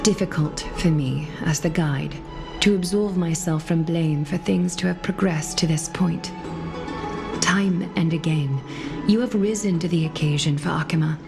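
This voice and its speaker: Game role: grave, dignified woman guide, formal and measured, slight fry, music underneath. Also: lowish voice. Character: composed, solemn female guide of noble standing. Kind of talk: scripted video game dialogue. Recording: music behind the voice.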